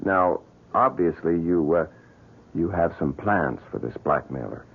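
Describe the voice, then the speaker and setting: Lively, husky-voiced slick schemer. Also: male voice, low voice, a little slow, exaggerated voice. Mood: careful, probing. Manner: slick, hesitant, persuasive. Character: glib, greedy, scheming man running a crime-prevention agency. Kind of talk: old-time radio drama acting. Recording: muffled, hissy old radio broadcast.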